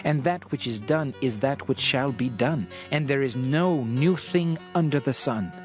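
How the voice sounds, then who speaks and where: A man's voice: mid-range voice, lightly acted. Man playing a solemn, world-weary king over music. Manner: solemn, declamatory, measured. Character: the Preacher, world-weary reflective old king of Jerusalem. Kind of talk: dramatized scripture reading.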